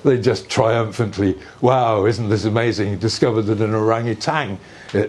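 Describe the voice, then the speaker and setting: An older man giving an informal explanatory talk in a discursive, conversational way, with hesitant pauses and small asides. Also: fairly low pitch, steady tone, a little mellow. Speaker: speaker on earth energies and ancient sites. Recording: live talk with clear background noise.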